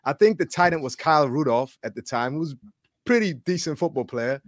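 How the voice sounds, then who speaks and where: A man hosting casual sports talk, telling stories in an animated, slangy way and repeating words for emphasis. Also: on the high side, a little fast, dry recording, clean studio sound. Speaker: podcast host and former college football player.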